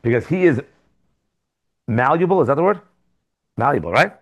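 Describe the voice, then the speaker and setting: A rabbi giving a lively Torah class in a classroom, asking rhetorical questions with sudden jumps in pitch and loudness. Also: male voice, normal speed. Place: classroom with students.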